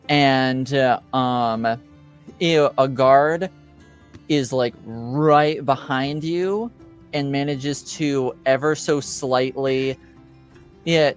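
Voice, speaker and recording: Slow, halting man running a game. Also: medium pitch, smooth. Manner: halting, hesitant phrasing. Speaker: game master. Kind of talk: improvised tabletop game narration.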